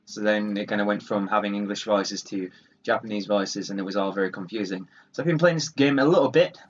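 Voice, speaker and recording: A man's voice: lowish voice, fairly quick, dull audio, a little hiss or hum. A man giving casual let's play commentary in a rambling, offhand, dryly wry way. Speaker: gaming video host.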